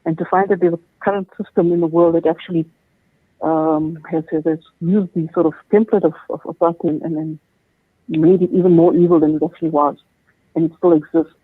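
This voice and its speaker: A woman's voice: sits low, steady tone, slightly rough, no room sound. Woman on a tinny line. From South Africa. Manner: halting, many restarts and repeated words. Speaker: guest. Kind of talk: personal testimony in an interview. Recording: tinny dry phone-like line.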